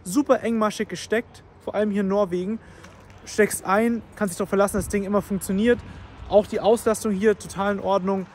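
A man's voice: high-pitched, steady tone, fairly quick, darkish tone, a little hiss or hum. A man vlogging casually on a road trip, chatty and enthusiastic, with plenty of fillers, talking from his car and at charging stations.

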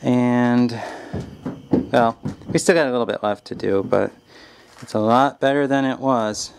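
A man in his forties talks casually as a vlogging dad, in an ordinary mid-range voice. He is recorded on a handheld camera, with clear background noise. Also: medium pitch, measured pace. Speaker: vlogger, father. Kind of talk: casual family vlog chat. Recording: noisy handheld camera audio.

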